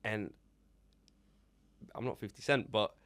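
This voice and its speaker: A man's voice: fairly low pitch, slow, fairly bright tone, no room sound. A man in his twenties, co-hosting a podcast, giving earnest reflective advice in casual chat. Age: 20s. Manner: reflective, earnest, fillers like "you know". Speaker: podcast co-host.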